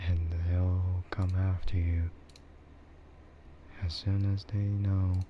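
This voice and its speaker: Slow, low-voiced man, hushed ASMR chat. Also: flat delivery. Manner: hushed, rambling, often slipping into whispers. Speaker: livestream host. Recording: muffled low-fidelity mic with clear background noise.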